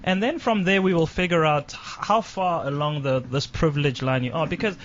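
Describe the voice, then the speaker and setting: A man on a radio talk show, briskly reading out quiz questions. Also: a little high, fairly bright tone, a little hiss or hum. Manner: brisk, reads questions aloud quickly. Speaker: guest leading a privilege quiz. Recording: dull lo-fi radio recording.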